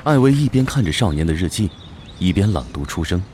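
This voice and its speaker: A man's voice: low voice, big pitch swings, a little fast, a little mellow, faint music behind. A man playing a solemn storyteller, giving scripted dramatic narration for a fantasy audio drama. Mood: storytelling.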